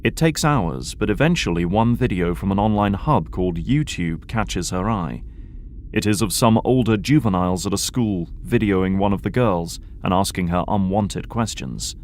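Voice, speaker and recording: A man's voice: deep voice, moderate pace, a little mellow. A man reading scripted narration for an audio drama. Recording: faint background noise.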